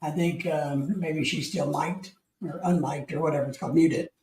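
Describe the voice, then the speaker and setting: A man's voice: a little high, fairly even intonation, moderate pace, darkish tone, a little hiss or hum. A man hosting a livestream who jokes and teases while he reads chat comments aloud. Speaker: livestream host. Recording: echoey room.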